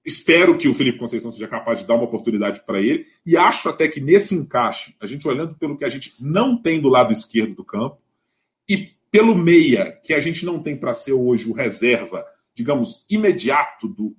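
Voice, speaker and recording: A man with a Brazilian Portuguese accent hosting a casual football live stream, animated and often repeating words as he restarts. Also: medium pitch, quick pace. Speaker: host.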